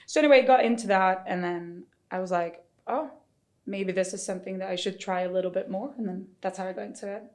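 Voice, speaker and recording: A woman's voice: medium pitch, fast. A woman from Sweden chatting casually as a podcast guest and fitness coach. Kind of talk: casual chat.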